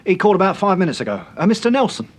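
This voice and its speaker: An actor playing a chirpy, deferential young manservant in a British radio drama, eager to report. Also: male voice, high voice, very animated, racing.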